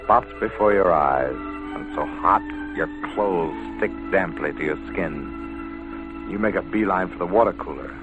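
A man's voice: low voice, unhurried, highs cut off, music in the background. A man narrating an old-time American radio drama in a dramatic, scripted second-person style. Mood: atmospheric. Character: moody male radio narrator setting the scene.

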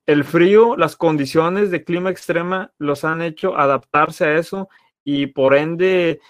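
A man's voice: on the high side, a little slow, no room sound. Rambling Mexican man, dry sound. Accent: Mexican Spanish. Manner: rambling, tag questions, slangy. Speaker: podcast co-host. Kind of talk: casual chat.

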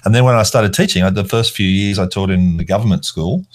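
A middle-aged man from Australia, an art teacher, explaining school systems in a relaxed, casual discussion. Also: low-pitched, fast.

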